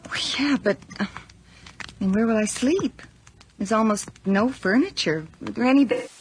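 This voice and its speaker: A woman's voice: fairly high pitch, normal speed, natural voice. An actress playing a chatty, nervous young city-girl student with a hesitant, rambling manner in a horror radio drama. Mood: uneasy. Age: young adult. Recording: noisy radio drama recording with clicks.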